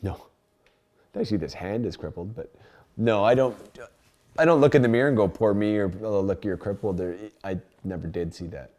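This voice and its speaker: Casting note: man, thirties, low voice, casual and matter-of-fact, interview. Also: normal speed, a little mellow. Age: 30s. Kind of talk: documentary interview.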